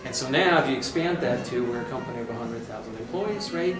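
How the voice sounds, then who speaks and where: A man's voice: medium pitch, animated, fast talker. A man from Austria answering as an executive guest in a conversational interview, explaining emphatically and often checking for agreement. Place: conference venue. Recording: echoey, distant mic, loud music behind.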